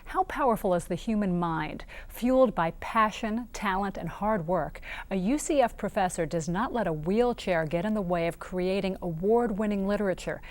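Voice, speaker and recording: A woman hosting a televised interview on a campus film set, lively and quick to laugh, often restarting her sentences. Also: mid-range voice. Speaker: TV interview show host.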